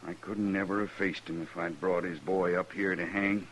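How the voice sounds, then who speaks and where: A man's voice: sits low, steady tone, normal speed, lightly acted. An actor playing a grieving, plainspoken frontier man in an old-time radio western. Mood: sorrowful, regretful. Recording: noisy vintage radio recording.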